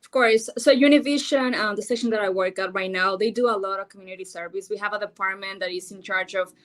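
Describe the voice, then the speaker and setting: A woman's voice: mid-range voice, fairly even intonation, quick pace. Ecuadorian-accented woman, earnest and chatty. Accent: Ecuadorian Spanish. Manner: earnest, thinking aloud with many fillers. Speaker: guest, news anchor. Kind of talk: casual interview answers.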